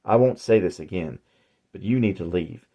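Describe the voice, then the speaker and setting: A man voicing a frightened woman pleading for her life, panicked and shrill. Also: moderate pace, warm tone. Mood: firm.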